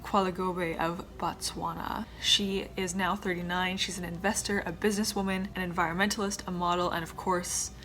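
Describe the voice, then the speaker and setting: A young woman with a lowish voice talks casually to her camera, chatty and friendly, now and then muttering quiet asides. She sounds a little stuffed up, and soft music plays faintly behind her. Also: level tone, normal speed, hardly any pauses. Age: 20s. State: slightly stuffed up. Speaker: vlogger, former pageant contestant.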